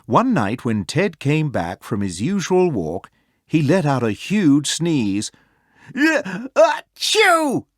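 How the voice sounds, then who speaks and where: Man, children's read-aloud narrator, medium pitch, lively intonation, clear phrasing, very dry recording. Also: takes it slowly, dry recording. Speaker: storybook reader.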